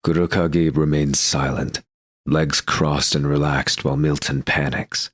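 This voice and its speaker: A man's voice: a bass voice, flat delivery, unhurried, dry recording, studio quality. A man reading scripted narration for an audio drama, describing the action as narrator.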